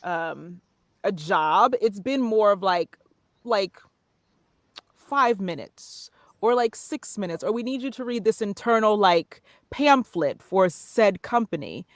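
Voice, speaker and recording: A woman's voice: medium pitch, lively intonation, takes it slowly, dry recording, studio quality. A woman speaking casually as an interview guest, explaining things in a conversational way. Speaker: podcast guest, voice-over artist and narrator.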